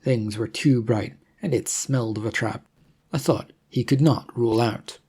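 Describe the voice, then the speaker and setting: Soft-edged storyteller reading an adventure audiobook, dark relaxed tone, bit of husk. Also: mid-range voice, normal speed, breathy edge. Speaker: audiobook narrator. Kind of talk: scripted story narration.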